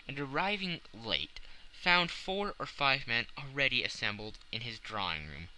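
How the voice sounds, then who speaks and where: Bright, forceful male audiobook narrator, widely swooping pitch. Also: low-quality recording. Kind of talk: dramatic scripted audiobook narration of a classic novel.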